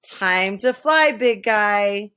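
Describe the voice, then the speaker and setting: A woman's voice: mid-range voice, lively intonation, very slow, bright, forceful tone, smooth, heavily acted. A woman voicing an earnest, anxious little Christmas elf from a children's storybook, speaking urgently. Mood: urging. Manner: urgent, exclamatory.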